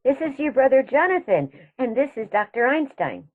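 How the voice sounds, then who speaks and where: Actress as a genteel, husky elderly aunt. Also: female voice, sits high, big pitch swings, normal speed, phone-quality sound. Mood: chiding. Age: older. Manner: genteel, gently bossy. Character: sweet, proper elderly aunt, calmly macabre. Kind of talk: radio play acting.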